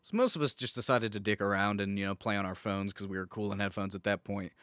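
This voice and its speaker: A man's voice: lowish voice, fast talker, fairly bright tone, clean tone, dry recording, clean studio sound. A man co-hosting a podcast, telling anecdotes in a wry, informal, joking manner. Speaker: podcast co-host. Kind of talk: casual chat, telling anecdotes.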